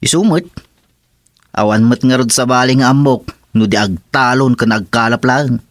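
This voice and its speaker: A male actor plays a hard-up, resigned village farmer. He speaks with an Ilocano accent at an ordinary male pitch. The sound is dry and close, in the style of radio comedy drama. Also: moderate pace, dry recording, close to an everyday voice. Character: plain rural man, hard-up farmer and fisher, resigned.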